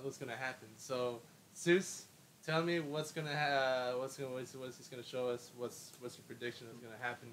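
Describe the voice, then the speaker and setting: A man hosting a casual live stream, talking in halting bursts and repeating his words. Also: medium pitch, a bit bright, slight background noise, rough recording.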